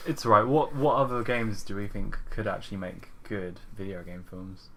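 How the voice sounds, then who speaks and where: A man's voice: lowish voice, normal speed. Man hosting a casual chat, faint noise. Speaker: podcast host. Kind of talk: casual discussion.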